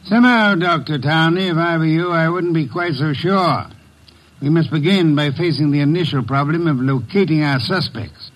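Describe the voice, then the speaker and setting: An imperious, unhurried master detective, as a man acts him out, with a slightly raised voice. He gives orders dryly and precisely, in old-time radio drama style. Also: fairly high pitch, natural voice, rough recording. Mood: commanding. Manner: measured, imperious, dry. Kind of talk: 1940s radio acting.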